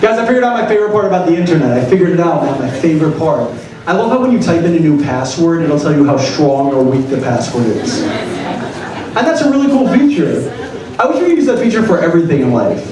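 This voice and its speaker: A man in his twenties performing a stand-up set on a comedy club stage, punchy and profane, with deadpan punchlines. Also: on the high side, fast talker. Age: 20s. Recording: echoey club sound from a distance. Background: audience laughter.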